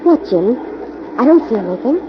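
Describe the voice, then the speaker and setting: A woman's voice: fairly high pitch, sing-song, normal speed. A woman playing a detective's inquisitive companion in 1940s radio drama style. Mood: curious, concerned. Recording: muffled, noisy old radio recording.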